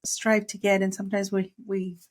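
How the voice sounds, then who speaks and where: A woman's voice: medium pitch, completely flat delivery, moderate pace. A woman from Mexico whose first language is Spanish, chatting casually as a podcast host. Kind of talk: casual interview chat.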